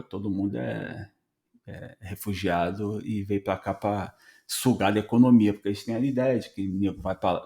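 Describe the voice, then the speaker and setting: A Brazilian man hosting a podcast, telling stories in a casual, animated way and acting out bits of dialogue. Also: fairly low pitch, vocal fry. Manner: conversational, animated storytelling with voiced dialogue. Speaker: podcast host.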